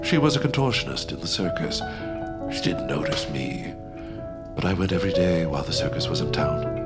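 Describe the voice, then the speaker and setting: An actor playing a gloomy, lovesick baron in an audio drama, speaking in a formal, pompous, melodramatic way. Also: male voice, low voice. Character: gloomy, lovesick, theatrical baron who scorns good times.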